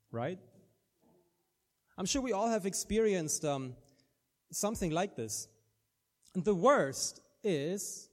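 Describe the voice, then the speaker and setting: A man's voice: high-pitched, a little mellow. A man from Germany with a German accent, preaching an earnest sermon and often restarting his words.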